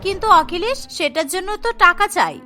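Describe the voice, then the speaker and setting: A woman's voice: extremely high pitch, big pitch swings, fast talker, sharp tone, soft background music, exaggerated voice. A woman voicing an enterprising, bossy eldest sister-in-law in a village cartoon, emphatic, complaining and coaxing. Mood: pleading. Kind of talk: Bengali cartoon dialogue.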